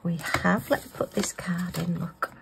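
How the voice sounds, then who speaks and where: A middle-aged woman talking casually to herself while crafting, her voice going hoarse. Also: on the low side, steady tone, normal speed, in a whisper. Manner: chatty, talks to herself. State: losing her voice. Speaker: craft vlogger.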